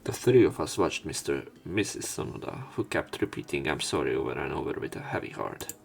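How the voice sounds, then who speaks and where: Male let's play narrator: low pitch, story-reading delivery, faint music behind. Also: very animated, brisk, gravelly. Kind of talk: reading visual novel script aloud, dramatic narration. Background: faint game music.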